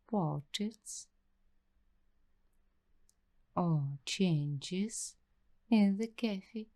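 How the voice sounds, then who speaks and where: A woman performing an ASMR roleplay as a paranoid young waitress, speaking in a secretive, deliberate hush that drifts into whispers. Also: on the low side. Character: paranoid young waitress investigating a creepy attic mystery. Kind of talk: ASMR roleplay, slow scripted monologue.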